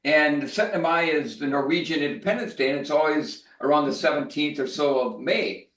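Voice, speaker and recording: Man, podcast storyteller, animated, laughs often, roomy distant recording. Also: fairly high pitch, a little fast. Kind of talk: casual chat and anecdote. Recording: roomy sound, distant from the mic.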